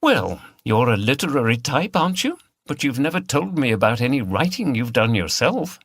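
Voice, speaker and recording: A man voicing a brisk, frank woman who teases and chides her partner in an audiobook story. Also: mid-range voice, sing-song, a bit bright, slightly rough, no echo, clean studio sound, pitched above the performer's own voice, exaggerated voice. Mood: frank, encouraging. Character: brisk, teasing woman, partner of a weary detective.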